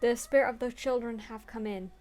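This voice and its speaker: A woman's voice: fairly high pitch, fairly even intonation, brisk, darkish tone. A young woman playing through a story game, reading the text aloud earnestly and breaking off into crude, swearing jokes.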